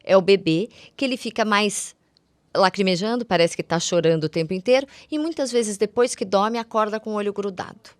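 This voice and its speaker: A woman's voice: mid-range voice, a little fast, no room sound. A Brazilian woman, a guest eye doctor on a podcast, explaining conditions conversationally, step by step in everyday words.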